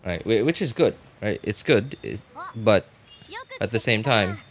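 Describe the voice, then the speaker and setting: Low-voiced man rambling on a livestream. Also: expressive, measured pace. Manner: rambling, repeats phrases, thinking aloud. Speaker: game livestreamer. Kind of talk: casual livestream chat.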